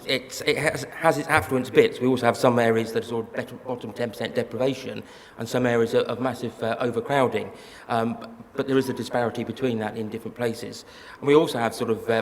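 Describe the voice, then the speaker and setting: A man who is a mayor, answering on a panel with many hesitant fillers. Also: mid-range voice. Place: conference hall. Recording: reverberant hall, faint background noise.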